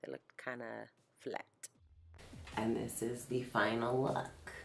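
A young woman with a deep, slightly hoarse, allergy-congested voice, chatting informally through a casual makeup tutorial. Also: flat delivery, takes it slowly, slight background noise. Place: small home art studio, indoors. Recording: roomy echo, music behind.

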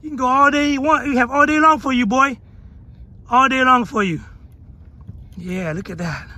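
A man hosting a fishing vlog from a small boat, giving excited commentary, whooping and repeating himself while fighting a fish. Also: extremely high pitch, normal speed. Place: small open boat on a wide river delta. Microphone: action camera on the boat. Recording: noisy outdoor action-cam audio. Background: wind, water and boat noise.

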